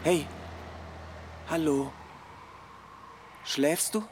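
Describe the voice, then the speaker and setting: An actor playing a brisk, commanding engineer in a German radio drama. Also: male voice, fairly high pitch, background noise.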